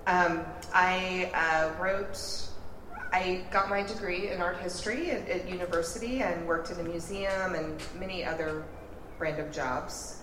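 Middle-aged woman, low, self-deprecating. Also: slow, reverberant, background noise. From born in Missouri, raised in Texas. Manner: relaxed, self-deprecating, hesitant with fillers. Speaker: author guest. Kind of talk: interview answers through an interpreter.

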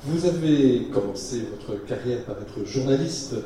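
A man's voice: mid-range voice, animated, measured pace, warm tone, a little hiss or hum. Formal man host, echoey hall. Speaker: host interviewer. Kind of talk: live interview on stage. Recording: echoey hall, far from the mic.

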